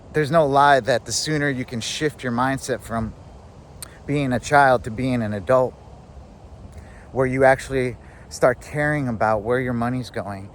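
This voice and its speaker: A man's voice: medium pitch, slight background noise. A man in his thirties talking casually to the camera about growing up, rambling with lots of fillers. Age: 30s. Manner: rambling, filler-heavy, reflective. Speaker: vlogger. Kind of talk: casual self-help monologue.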